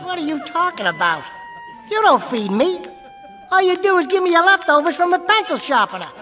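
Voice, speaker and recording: A man's voice: very high-pitched, sing-song, a little fast, pushed and bright, full character voice. A man voicing a small, cocky, wisecracking ventriloquist's dummy with brassy, taunting patter in a radio drama.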